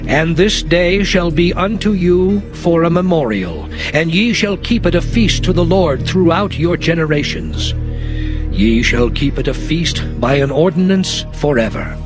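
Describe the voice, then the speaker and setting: A man voicing God in a dramatized scripture reading, proclaiming laws in solemn, measured phrases over music. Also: a little high, lively intonation. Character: the Lord, commanding divine voice giving laws. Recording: music under the voice.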